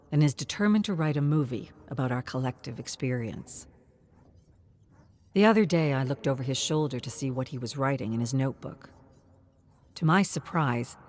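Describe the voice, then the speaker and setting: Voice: mid-range voice, a little mellow, a little hiss or hum. A narrator reading a scripted first-person journal log.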